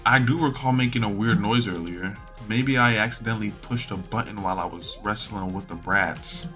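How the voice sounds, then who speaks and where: A man with a bright, forceful voice reads a visual novel aloud. He throws himself into the dialogue and drops in his own asides, with some music playing behind him. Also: on the low side, normal speed. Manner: animated, acts out the dialogue. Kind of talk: dramatic read-aloud of game text with asides.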